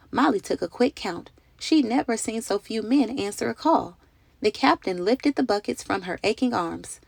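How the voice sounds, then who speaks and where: A woman's voice: on the high side, gravelly, darkish tone, dry recording. A woman reading a children's story aloud in a dramatic, carefully phrased narrating style with emphatic delivery. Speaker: storybook reader.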